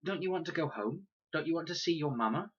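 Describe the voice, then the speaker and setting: An earnest young girl from a storybook, acted by a male reader, reasoning and gently pleading. The voice is lifted and bright, on a slightly muffled recording. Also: fairly high pitch, animated, fast talker, breathy edge, pitched above the performer's own voice. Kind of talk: children's audiobook character voice.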